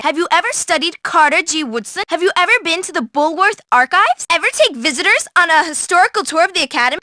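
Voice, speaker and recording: A woman's voice: extremely high pitch, pushed and bright, no echo, studio quality, full character voice. A woman voicing a bookish, haughty teenage schoolgirl in a video game, with pompous, precise diction and a prim manner. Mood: earnest, self-important. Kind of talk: scripted video game character lines.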